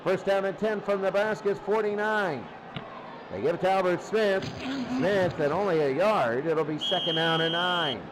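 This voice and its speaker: High-voiced man calling football over noise. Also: moderate pace. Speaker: play-by-play sports commentator. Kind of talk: American football radio-style play-by-play commentary.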